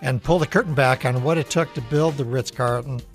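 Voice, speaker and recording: A man's voice: mid-range voice, fairly quick, faint music behind. A man hosting a radio interview, asking questions in a conversational way. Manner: conversational, hesitant fillers when asking. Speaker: radio show host.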